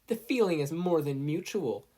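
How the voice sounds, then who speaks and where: An indignant teenage girl inventor, acted by a narrator, blunt and sulky. The voice sits raised and slightly husky, in audiobook style. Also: mid-range voice, lively intonation, moderate pace, dark tone, voice pushed up.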